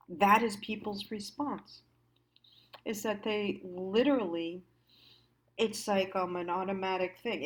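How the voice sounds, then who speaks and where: An American woman giving a reflective monologue as a host, musing haltingly and stressing key words. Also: medium pitch, a bit bright. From United States. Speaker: host of a personal storytime show.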